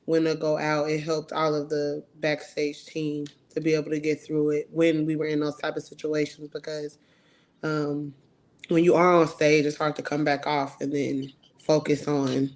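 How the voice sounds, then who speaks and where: Low-voiced hesitant Ohio woman. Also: completely flat delivery, moderate pace, darkish tone. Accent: American English, Ohio. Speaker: co-director, cast member. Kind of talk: casual interview talk.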